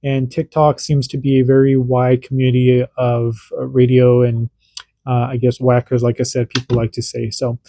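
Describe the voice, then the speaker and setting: A man's voice: medium pitch, monotone, normal speed, mellow, a little hiss or hum. A man and parent, hosting a casual hands-on radio demo and explaining in an informal, rambling way that often restarts sentences. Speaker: radio hobbyist host.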